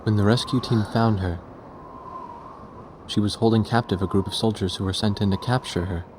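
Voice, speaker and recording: A male actor playing an earnest, reflective war reporter in a game cutscene, measured and sober in delivery. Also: fairly low pitch, steady tone, background noise, close to an everyday voice. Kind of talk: scripted game cutscene narration.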